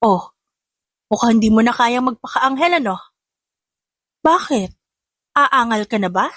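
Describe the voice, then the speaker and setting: Woman acting a haughty, high-voiced spoiled heiress. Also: animated, unhurried, dry recording, clean studio sound. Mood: taunting, hostile. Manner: sharp, taunting, mixing Tagalog with English. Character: young spoiled legitimate daughter, haughty and combative.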